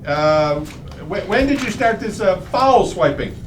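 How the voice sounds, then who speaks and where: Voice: medium pitch, lively intonation, a little slow, slight background noise, natural voice. A performer playing an exasperated, blustering father who sputters indignantly in an old-time radio comedy.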